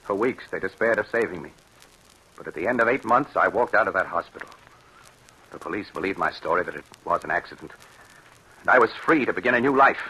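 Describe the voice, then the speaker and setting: An actor playing a haunted, bitter husband with grave, dramatic delivery in an old-time radio drama. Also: male voice, low-pitched, expressive, fairly quick, bright, forceful tone, husky, exaggerated voice, poor audio. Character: haunted, bitter husband recounting his troubled marriage.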